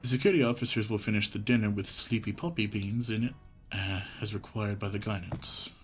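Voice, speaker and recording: A man's voice: lowish voice, a little fast. A man live streaming a game, chatting casually with some swearing and reading the on-screen text aloud. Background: game music.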